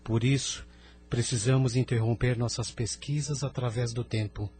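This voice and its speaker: Actor as courteous spirit envoy, man, Brazilian accent, husky, formal, grave, soft music behind. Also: mid-range voice, steady tone, lightly acted. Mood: grave, urgent. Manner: formal, measured. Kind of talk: audio drama acting.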